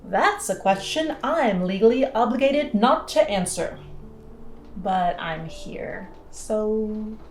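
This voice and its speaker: A woman's voice: medium pitch, takes it slowly, reverberant, slight background noise. A woman playing a cocky, sardonic pilot in an audio drama, with a dry, blunt, teasing delivery. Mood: cynical. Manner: dry, sarcastic, blunt, foul-mouthed teasing. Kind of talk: naturalistic audio drama dialogue.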